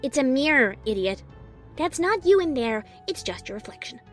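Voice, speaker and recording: A man's voice: very high voice, very animated, moderate pace, a bit bright, faint music behind, pitched above the performer's own voice, exaggerated voice. A man voicing an exasperated, sarcastic pony mare sorceress who scolds with biting sarcasm. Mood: scornful.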